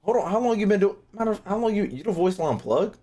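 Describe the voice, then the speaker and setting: A man with an American accent hosts a casual stream in a fairly high voice. His pitch jumps around a great deal as he talks. He banters brashly with his viewers, curses often and repeats phrases to drive them home. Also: expressive, fast, warm tone. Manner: brash, heavily swearing banter. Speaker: streamer hosting a reaction video. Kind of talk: casual livestream chat to viewers.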